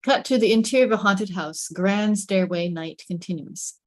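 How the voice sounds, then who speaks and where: A woman's voice: medium pitch, moderate pace, no room sound. A woman reading stage directions as dramatic scripted narration, with suspenseful, emphatic phrasing.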